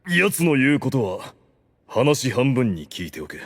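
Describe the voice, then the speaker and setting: A man's voice: medium pitch, very animated, a little slow. A man playing a male ruler in an anime audio drama, formal and lordly but privately awkward and exasperated. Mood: calm, lordly. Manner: formal authority slipping into casual muttering. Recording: music behind the voice.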